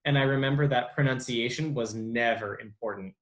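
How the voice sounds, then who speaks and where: A young adult man as an interview guest, talking casually with many pauses and restarts. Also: mid-range voice, fairly bright tone, low-quality recording. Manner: halting, lots of ums and restarts. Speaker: interview guest, bilingual speech therapist.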